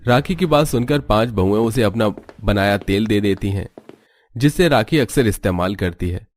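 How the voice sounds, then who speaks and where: A man reading scripted narration for an animated family story. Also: fairly low pitch, normal speed, slight background noise.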